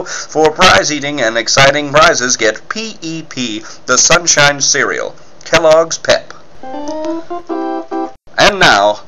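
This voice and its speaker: A man's voice: on the high side, low-quality recording. A man reading as a 1940s radio announcer and narrator, with folksy, enthusiastic salesmanship.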